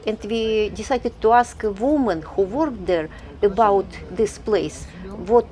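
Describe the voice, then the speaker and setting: A woman vlogger narrates a casual walking tour outdoors, thinking aloud with hesitant pauses. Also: medium pitch, warm tone. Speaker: travel vlogger. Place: outdoors on a city square.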